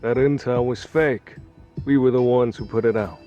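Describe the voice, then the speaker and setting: A man's voice: mid-range voice, steady tone, highs cut off, close to an everyday voice. An actor playing a guarded, stern man of a secretive underworld group, speaking formally and measuredly in a game dub. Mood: measured, informing. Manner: measured, formal, coolly forbidding. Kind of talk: English dub acting for a game cutscene. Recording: faint music behind the voice.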